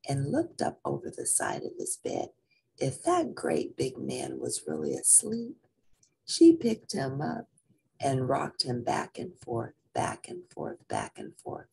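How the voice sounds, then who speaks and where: A husky-voiced woman reading a children's picture book aloud in a dramatic, expressive way, drawing out repeated phrases. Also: sits low, a little hiss or hum, rough recording.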